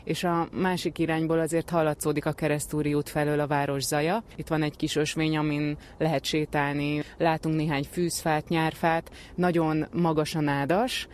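A woman's voice: deep voice, flat delivery, slight background noise. A woman working as a field reporter, describing her surroundings and putting questions outdoors. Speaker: radio reporter, interviewer. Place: outdoors in a wetland reserve.

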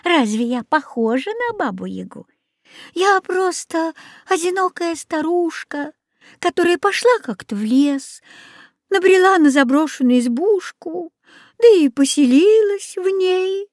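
A woman voicing a sugary old witch in a storybook, luring children with cooing, drawn-out, sing-song talk. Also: extremely high pitch, animated, dry recording, studio quality, pitched above the performer's own voice, exaggerated voice. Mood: gently chiding.

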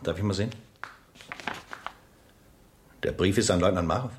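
Deep, courteous, hesitant military captain actor. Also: male voice, close to an everyday voice. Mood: reserved, uneasy. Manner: polite, reserved, halting. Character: formal French captain, courteous, hesitant interrogator. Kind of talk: radio play acting.

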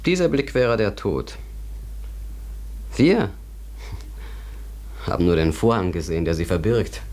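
An actor playing a philosophical, thoughtful companion in a German radio drama, explaining things in a deliberate, contemplative way. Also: male voice, sits low, big pitch swings, darkish tone. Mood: grave, contemplative. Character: philosophical man pondering another world, thoughtful companion.

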